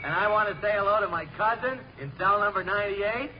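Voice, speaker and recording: An actor playing an earnest prisoner announcing greetings on prison radio in an old comedy show, hearty and list-like. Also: male voice, sits high, fast, lightly acted. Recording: muffled, faintly noisy old radio recording.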